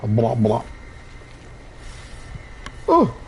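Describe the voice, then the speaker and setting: A man's voice: mid-range voice, huge pitch swings, extremely slow, gravelly, a little mellow, slight background noise. A young man from Puerto Rico with a Puerto Rican Spanish accent, a native Spanish speaker chatting easily through his gameplay commentary and often restarting his sentences. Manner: easygoing, rambling, restarts sentences. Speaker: streamer.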